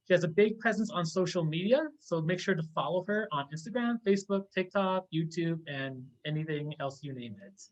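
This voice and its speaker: A man's voice: on the high side, poor audio. Male host for an online webinar, formally reading a prepared speaker introduction.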